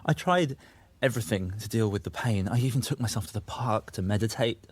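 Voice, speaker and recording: A man's voice: lowish voice, fairly quick, slightly rough, no room sound. An actor playing a troubled, self-justifying young man who confesses and makes excuses in a naturalistic audio drama. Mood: subdued confessing. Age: young adult. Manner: confessional, defensive, sweary.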